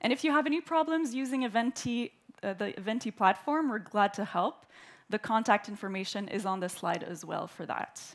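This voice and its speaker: A woman from Canada giving the formal welcome speech at a conference, clear and orderly as she reads prepared remarks into a stage microphone. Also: mid-range voice, expressive, fairly quick. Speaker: event host, opening speaker. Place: conference hall.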